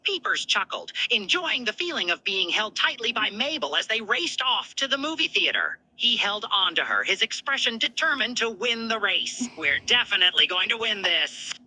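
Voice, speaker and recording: Casting: female story narrator, piercingly bright, lively intonation, scripted read. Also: a little high, normal speed, few pauses, slightly rough, low-quality recording.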